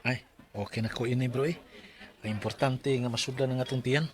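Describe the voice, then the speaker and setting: A man's voice: medium pitch, fairly even intonation, brisk, lightly acted. A man acting a humble, proud widowed father of five in a Bisaya radio drama, speaking in a plaintive, earnest way with a Filipino Bisaya accent. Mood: resigned.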